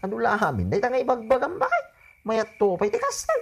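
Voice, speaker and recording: A man's voice: extremely high pitch, wildly swooping pitch, quick pace, vocal fry, husky, full character voice. A man with an Ilocano accent playing a cheeky, stubborn, wisecracking husband in a comedy radio drama. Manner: wisecracking, exclamatory, argumentative. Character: cheeky, stubborn husband and grown son, joking glutton. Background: faint music.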